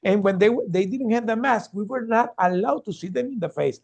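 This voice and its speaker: A man's voice: sits high, expressive, a little fast, gravelly, darkish tone. A man from Venezuela, telling his story earnestly as a keynote speaker and often restarting his words. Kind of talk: storytelling talk on a video call.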